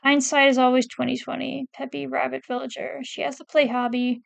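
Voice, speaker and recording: High, gravelly-voiced woman chatting casually. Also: little pitch movement, a little fast, no room sound. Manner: chatty, hesitant, self-correcting, reads quotes aloud. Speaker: PNGtuber ranking game characters. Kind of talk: casual commentary while reading a wiki.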